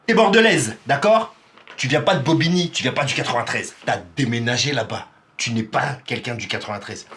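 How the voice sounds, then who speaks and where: A man's voice: medium pitch, expressive, fairly quick, a bit bright. A man from Paris giving live reaction commentary on a rap battle, exclamatory and teasing. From Paris, France.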